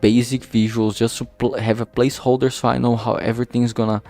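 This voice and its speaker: A man talking casually and haltingly in a rambling vlog, informal and self-deprecating, with some swearing. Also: mid-range voice, little pitch movement, warm tone. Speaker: indie visual novel creator and vlog host. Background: background music.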